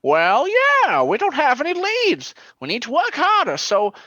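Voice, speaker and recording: A woman's voice: sits high, huge pitch swings, unhurried, bright, forceful tone, no echo, studio quality, exaggerated voice. A woman playing a glib, evasive male detective with gambling debts, theatrical and breezy, in a comedic radio play.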